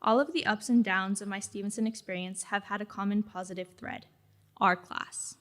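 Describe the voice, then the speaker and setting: A woman's voice: medium pitch, fairly even intonation, normal speed, a bit bright. A teenage girl giving a prepared graduation speech, reading in a reflective, earnest way. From Mexican-American family in California. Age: late teens. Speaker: graduating student speaker.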